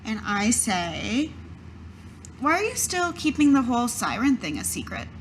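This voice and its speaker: A woman's voice: a little high, big pitch swings, measured pace, rough recording. Conversational woman roleplaying, faint background noise. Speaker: tabletop game player. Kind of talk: improvised in-character roleplay in own voice.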